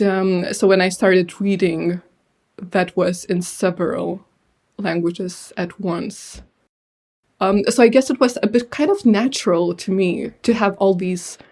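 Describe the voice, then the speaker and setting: A young woman from Lithuania, a translator, answering interview questions thoughtfully with frequent pauses and fillers. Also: medium pitch, darkish tone. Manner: thoughtful, hesitant with many fillers. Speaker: translator and writer, guest.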